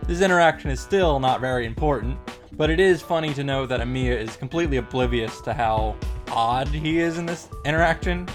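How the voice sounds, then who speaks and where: A man recapping a visual novel as commentary, with sarcastic, sweary, exclamatory asides. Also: medium pitch. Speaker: gaming YouTuber. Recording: music playing behind the voice.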